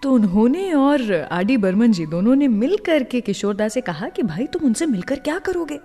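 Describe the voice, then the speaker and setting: A woman's voice: on the high side, very animated, moderate pace, mellow. Woman radio host in long reverb. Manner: conversational, puts questions to listeners. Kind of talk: scripted radio storytelling between songs. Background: music.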